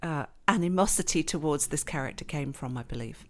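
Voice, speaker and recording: A woman from England, raised in Switzerland, with an English accent, chatting warmly and laughing often as a novelist being interviewed. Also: low-pitched, brisk. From born in England, grew up in Switzerland. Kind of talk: casual interview chat.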